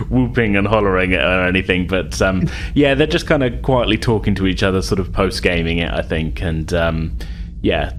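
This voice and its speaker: A man's voice: lowish voice, slight background noise. A man working as game master, narrating improvised scenes in a casual, loose conversational way.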